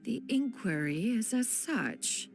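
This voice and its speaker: A woman's voice: on the high side, sing-song, slow pace, gravelly, a little mellow, faint music behind, exaggerated voice. A woman playing a haughty immortal adeptus in human guise in a video game, with stiff, archaic, lofty phrasing. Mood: haughty, reserved. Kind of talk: scripted video game voice acting.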